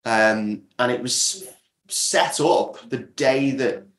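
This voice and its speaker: A man's voice: mid-range voice, expressive, slow pace, a bit bright. A man speaking as an interview guest, explaining his work as a producer in casual talk. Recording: roomy, echoey sound.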